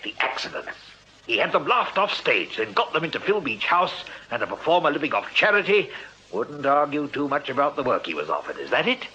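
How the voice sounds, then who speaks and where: An exasperated Victorian Major with an upper-class English accent. The voice is raspy and highish, leaping about in blustering, clipped bursts. It is period radio drama with a slightly muffled sound. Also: male voice, sing-song, brisk, bright, forceful tone, full character voice. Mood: sceptical.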